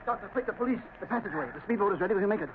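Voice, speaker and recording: A jittery henchman who blurts his words out very fast and very high. The sound is thin and phone-like with light hiss, straight from an old broadcast. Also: animated. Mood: panicky. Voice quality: rapid, urgent, high-strung. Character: nervous male henchman, jumpy and urgent. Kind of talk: 1930s radio drama acting. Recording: tinny old radio recording, hiss.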